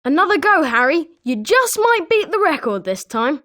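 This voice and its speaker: A woman's voice: sits high, sing-song, normal speed, a bit bright. A woman with a British accent voicing an eager, sporting schoolboy wizard with chirpy, emphatic delivery in a video game. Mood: encouraging. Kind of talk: scripted video game character lines.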